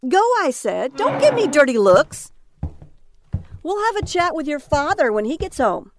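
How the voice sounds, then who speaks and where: A woman's voice: sits high, big pitch swings, normal speed. An actress playing a stressed mother and trucker's wife in an audio drama, by turns snappish and chatty. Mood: exasperated, scolding. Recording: faint background noise.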